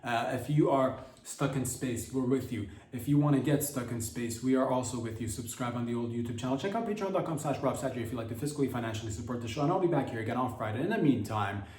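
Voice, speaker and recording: A man's voice: medium pitch, lively intonation, fast, few pauses, darkish tone. A man hosting a comedy podcast, rambling through a loose monologue full of restarts and asides. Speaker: podcast host. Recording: roomy, distant from mic.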